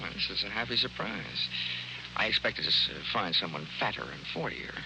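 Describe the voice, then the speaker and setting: A man's voice: fairly low pitch, expressive, brisk, gravelly, background noise. A man acting a wry, flirtatious insurance investigator in 1940s radio detective style, with breezy wisecracks. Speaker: lead actor and narrator. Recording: old 1940s radio broadcast, music behind.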